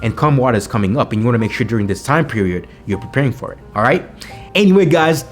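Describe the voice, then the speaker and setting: A Kenyan-accented man talks quickly and with energy to his camera, giving motivational business tips. His pitch sits in a middle range. Faint music plays behind his voice. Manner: energetic, repeats himself, says "right?" to the viewers. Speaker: vlogger. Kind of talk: motivational business vlog talk. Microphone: camera mic on a home video set.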